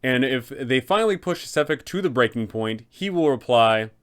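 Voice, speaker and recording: Male host, medium pitch. Scripted narration guiding game masters through a tabletop scenario. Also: lively intonation, moderate pace, fairly bright tone, clear, resonant tone.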